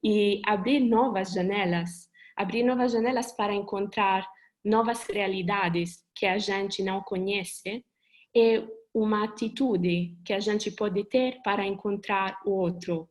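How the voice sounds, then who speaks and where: A woman from Italy with an Italian accent speaking Portuguese, giving an earnest webinar talk over a video call and pausing now and then to search for words. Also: mid-range voice. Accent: Italian, with Brazilian Portuguese touches.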